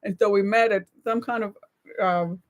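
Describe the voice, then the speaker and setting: A woman's voice: medium pitch, steady tone, moderate pace. American woman in her sixties, chatting. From United States. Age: 60s. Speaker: co-host of a live chat show. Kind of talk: casual reflective chat.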